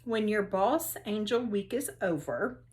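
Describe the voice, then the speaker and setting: A woman's voice: medium pitch, animated, measured pace, darkish tone, lightly acted. A woman voicing an upbeat female third-grade teacher in a storybook, emphatic and teasing with her class.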